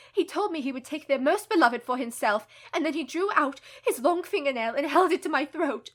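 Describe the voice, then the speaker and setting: An actress playing a brave, distraught young wife in a radio play, intense, earnest and emotive. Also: female voice, high-pitched, a bit bright. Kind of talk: radio play dramatic acting.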